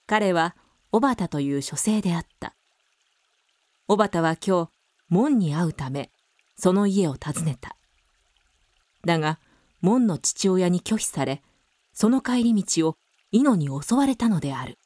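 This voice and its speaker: A woman's voice: on the low side, lively intonation, a little slow, long pauses, darkish tone, no echo. A woman narrator reading scripted narration for a literary radio drama.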